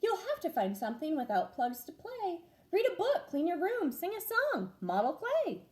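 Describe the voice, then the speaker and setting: A woman doing a gently soothing mom. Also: very high-pitched, wildly swooping pitch, moderate pace, pitched above the performer's own voice, heavily acted. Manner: gentle, reassuring. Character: calm, soothing mother.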